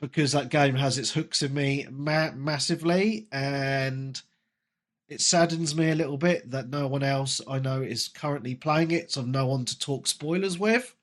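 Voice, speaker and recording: A man's voice: mid-range voice, moderate pace. A man in his late thirties hosting a gaming podcast, stuffed up with a cold and talking chattily with plenty of ums. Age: 30s. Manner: chatty, self-mocking, frequent ums. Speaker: podcast host. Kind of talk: casual gaming podcast chat.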